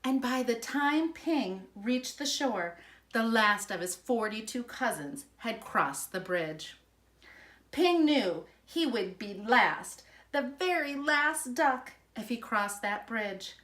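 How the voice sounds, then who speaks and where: Female storybook reader for children: high-pitched, expressive and emphatic, taking her time. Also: slow. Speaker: school principal reading aloud. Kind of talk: children's storybook read-aloud.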